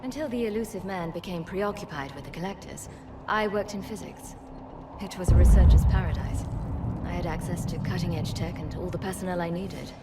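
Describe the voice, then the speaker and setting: An actress playing a principled, weary female scientist in a video game cutscene, speaking in a measured, earnest way. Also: on the low side, heavy background noise, close to an everyday voice. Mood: reflective.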